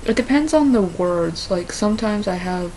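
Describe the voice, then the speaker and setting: Halting woman with a mixed Minnesota-Southern accent. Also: mid-range voice, expressive, dark tone, a little hiss or hum, low-quality recording. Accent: mixed Minnesota and Southern American. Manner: halting, with many fillers and restarts. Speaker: vlogger. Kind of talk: casual quiz answers to camera.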